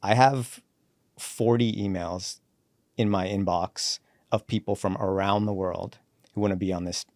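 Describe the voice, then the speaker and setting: A man in his forties with a fairly low voice tells his career story as a podcast guest. He moves briskly through the story and acts out the lines he quotes. The recording is close and dry. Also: steady tone, a little mellow, dry recording. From born in Sri Lanka, raised in Canada. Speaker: guest, company CEO. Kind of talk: relaxed podcast interview, storytelling.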